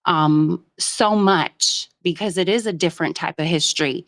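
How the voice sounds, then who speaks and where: A woman from Jamaica calling in to a live show, speaking earnestly and at length, with many pauses and "um"s. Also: on the low side, low-quality recording. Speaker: caller. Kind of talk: casual call-in commentary.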